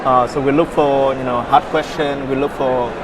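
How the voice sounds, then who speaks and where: A young man from Vietnam answers as a founder in an interview, and he stumbles and restarts with lots of "uh" and "you know". His pitch sits mid-range for a man. Steady traffic noise and passing vehicles are clear behind him. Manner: halting, many fillers and repeated words. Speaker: startup founder, interview guest.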